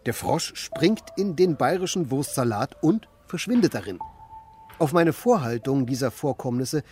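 An actor playing an idle, melancholy married man in a radio play, speaking in deliberate, formal sentences with a deadpan manner. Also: mid-range voice, faint music behind, natural voice. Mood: earnest, deadpan. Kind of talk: German radio play acting and narration.

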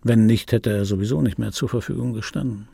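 An actor playing an embittered, wistful dubbing actor in his fifties, delivering a dry, brooding radio play monologue in a husky voice. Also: on the low side, fairly quick, heavy background noise. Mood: dry, wry. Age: 50s. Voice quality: husky, gravelly.

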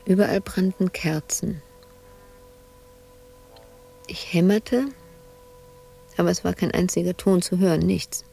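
Tormented sculptress in an asylum, radio play: dark, creaky voice, intimate, breathy, haunted monologue. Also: female voice, lowish voice, steady tone, takes it slowly, pauses often, soft background music, full character voice. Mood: hushed, haunted. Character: anguished woman sculptor confined in an asylum, haunted, brooding.

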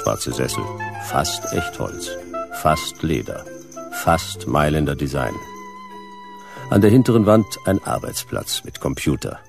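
A man playing a laconic, skeptical private detective, clipped and deadpan, in a noir radio play. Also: very deep voice, unhurried, creaky, music in the background, exaggerated voice. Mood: deadpan narrating. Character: laconic, dry, skeptical hard-boiled male private detective.

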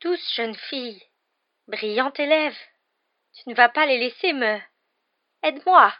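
A woman acts out a snivelling, cowardly man from a storybook, begging for his life. The voice is pushed high into a whining, stammering plea. The recording is slightly dull. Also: animated, measured pace. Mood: wheedling, pleading. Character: cowardly, grovelling, whimpering middle-aged man, pleading for his life.

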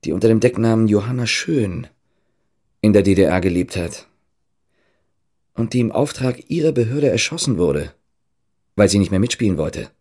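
An actor playing a resolute, guarded man on the run in a German radio drama, speaking in a measured way. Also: on the low side, animated, dark tone.

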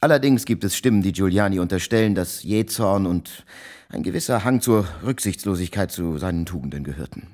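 An actor in a German radio play playing a dutiful young merchant's clerk, evasive and discreet, with polite formal speech. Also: male voice, low-pitched, expressive, a little fast, a little mellow. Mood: polite, guarded.

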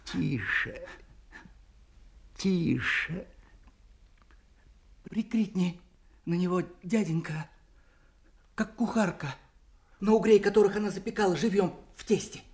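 A man's voice: sits high, takes it slowly, creaky, pauses often, exaggerated voice. A man acting a teasing, absurd court jester, telling nonsense in a playful sing-song way in an audio stage play. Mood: mocking, playful.

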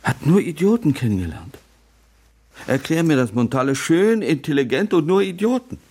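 A shattered old grandfather, his creaky, husky voice rising and falling wildly with grief. His questions come out cracked and pleading in a radio play. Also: on the high side, sing-song, moderate pace, mellow, exaggerated voice. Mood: grief-stricken. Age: older. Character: grieving old grandfather, broken by his daughter's death. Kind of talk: radio drama acting.

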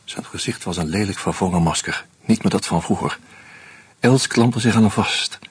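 Casting: a sardonic, boozy narrator in a radio play, lowish voice, very breathy-husky tone. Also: male voice. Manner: slow, sardonic, confronting. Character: drunk bitter man exposing a murderer. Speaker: Hans Troebo, narrator. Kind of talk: first-person radio drama narration.

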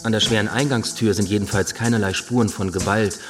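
Man acting a police investigator, methodical, inquiring, low pitch, clear music behind, radio drama. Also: steady tone, fairly quick, close to an everyday voice. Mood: methodical, polite. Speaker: actor.

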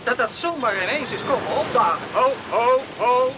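A man's voice: extremely high pitch, expressive, moderate pace. An actor playing a young male islander, a curious, practical and amused friend, in a Dutch radio play. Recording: noisy old radio play recording.

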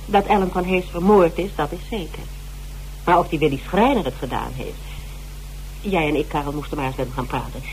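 An actress from a radio drama playing a bossy, teasing amateur detective, speaking Netherlands Dutch in a pushy, bantering way. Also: female voice, low voice, a little fast, a little mellow. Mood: brisk. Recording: noisy, humming radio broadcast recording.

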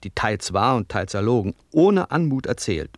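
An actor playing a skeptical, faultfinding listener who challenges the storyteller in a radio play. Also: male voice, fairly low pitch, sing-song, normal speed, no echo. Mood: disapproving. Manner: critical, dry, challenging. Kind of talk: German radio play acting.

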